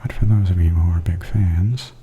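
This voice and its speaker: A man giving soft-spoken, hushed and often whispery ASMR commentary as a video host. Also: deep voice, steady tone, normal speed, highs cut off. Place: voice-over added after filming.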